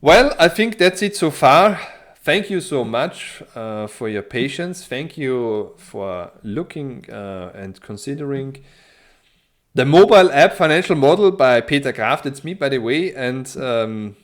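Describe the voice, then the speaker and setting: A man's voice: medium pitch, expressive, a little slow. Hesitant Austrian man walking through a tutorial. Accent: Austrian German. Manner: hesitant, many ums and restarts, friendly. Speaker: tutorial presenter. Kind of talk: screen-share software tutorial.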